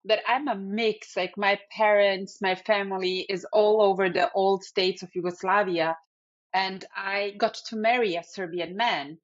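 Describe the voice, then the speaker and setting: A woman's voice: mid-range voice, fairly even intonation, measured pace, highs cut off. A woman who grew up in Germany, answering as an interview guest in a friendly way, with some hesitant fillers. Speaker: guest, feng shui practitioner.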